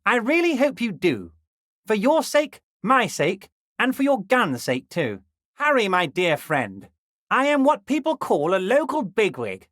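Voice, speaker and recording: A man voicing a scheming, jovial middle-aged male union boss in a video game, smooth and ingratiating with a slyly patronizing edge, drawing out key words slowly. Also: sits high, huge pitch swings, a bit bright, no room sound, clean studio sound, full character voice. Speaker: dock union leader character.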